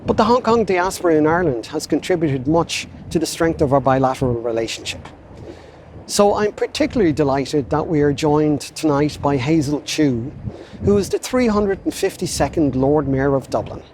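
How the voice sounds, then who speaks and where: A man, a consul general, giving measured, courteous hosting remarks and introductions. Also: a little high, lively intonation, a little mellow, slight background noise.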